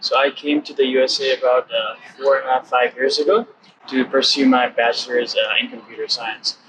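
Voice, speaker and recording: A man's voice: mid-range voice, steady tone, fairly quick, noisy background. A man in his twenties from Nepal with a Nepali accent, answering questions casually as an interview guest. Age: 20s. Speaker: interview guest, program alumnus.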